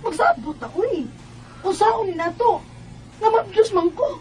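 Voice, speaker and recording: A woman's voice: extremely high pitch, expressive, unhurried, heavy background noise. A woman acting a curt, evasive mother and scheming wife in a Filipino radio drama, with a Bisaya accent. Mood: anxious, pressing. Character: evasive, scheming mother, unfaithful wife planning to work abroad.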